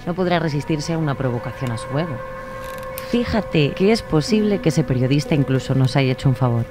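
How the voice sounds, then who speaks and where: An actor playing an analytical, persuasive criminal profiler in a radio drama. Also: male voice, fairly high pitch, animated, fairly quick, music in the background, close to an everyday voice. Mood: assured, persuasive. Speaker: actor in an audio crime drama.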